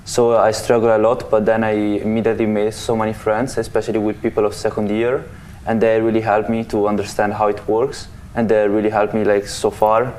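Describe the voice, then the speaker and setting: A man's voice: lowish voice, fairly quick, a little hiss or hum. A nineteen-year-old man, a student speaking casually with lots of "like". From Italy. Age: late teens. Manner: relaxed, lots of "like". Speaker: student panelist. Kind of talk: casual panel talk.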